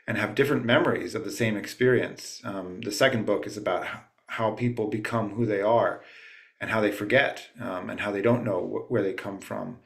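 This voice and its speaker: A low-pitched man hosts a book club from home over a video call. He speaks haltingly, with many fillers, as he introduces a guest author. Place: at home late at night.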